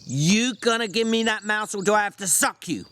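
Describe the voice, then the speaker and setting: A man's voice: high-pitched, normal speed, fairly bright tone, voice pushed up. A man voicing an irritable, bossy but protective working man, brusque and scolding, in a dramatic audiobook reading. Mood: cold, demanding.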